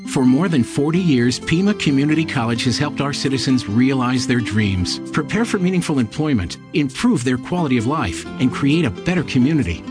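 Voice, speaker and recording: A man's voice: mid-range voice, animated, fairly quick, hardly any pauses, music in the background. A man reading an earnest scripted radio appeal. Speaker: announcer.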